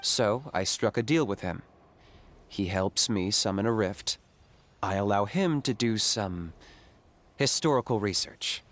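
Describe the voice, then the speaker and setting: An actor playing a stoic, level-headed young hunter in a video game, speaking in a deadpan, matter-of-fact way. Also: male voice, on the low side, a little slow.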